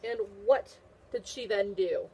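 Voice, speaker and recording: A woman's voice: medium pitch, slow pace, darkish tone, slight background noise, rough recording. A woman teacher reading a picture book aloud to young children, repeating questions and answers in an encouraging way. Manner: encouraging, praising the listener.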